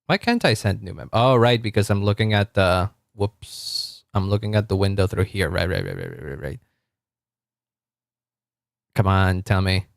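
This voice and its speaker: Deep-voiced man rambling on stream. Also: sing-song, moderate pace, vocal fry, no echo, clean studio sound. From Argentina. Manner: rambling, self-correcting, chuckling, reading chat aloud. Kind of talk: casual livestream chat.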